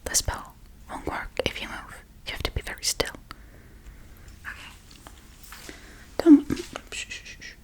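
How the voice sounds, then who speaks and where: A woman voicing a playful, forgetful, menacing witch in a hushed, coaxing, whispery ASMR role-play. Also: measured pace, pauses often, natural voice. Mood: hushing, coaxing. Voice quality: breathy, whispery.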